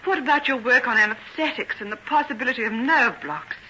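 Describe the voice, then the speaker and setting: An earnest young nurse, loyal and gently scolding, in old-time radio style. The voice is high and piercingly bright, with proper diction. It comes through a dull, aged broadcast recording. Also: female voice, a little fast. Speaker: actress in a radio serial. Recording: dull, faintly noisy vintage radio recording.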